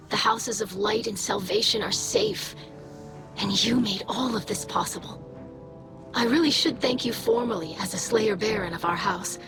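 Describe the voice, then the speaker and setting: An actress playing an earnest young female alien scribe in a game, gentle and sincere. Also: medium pitch, close to an everyday voice. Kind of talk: heartfelt game monologue. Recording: loud music under the voice. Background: music.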